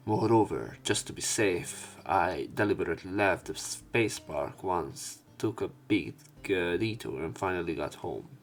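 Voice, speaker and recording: A man reading visual novel text aloud as a let's play narrator, in a somewhat halting manner. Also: sits low, lively intonation. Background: game music.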